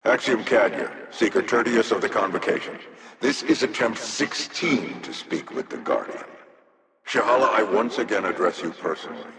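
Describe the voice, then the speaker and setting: An actor playing an imperious, desperate alien seeker, speaking with formal, commanding passion in a video game audio log. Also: male voice, fairly low pitch, a bit bright. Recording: echoey hall with long reverb.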